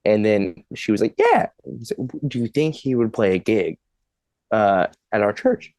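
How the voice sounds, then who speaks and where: A man's voice: on the low side, wildly swooping pitch, measured pace, darkish tone, no room sound. A man in his twenties, a podcast guest, telling a long funny story in casual chat, laughing and swearing as he goes.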